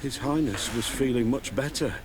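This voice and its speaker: A man's voice: mid-range voice, moderate pace, crowd noise, lightly acted. An actor playing an anxious, evasive guard in a video game, stammering and deferential as he reports to his superiors. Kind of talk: video game dialogue acting.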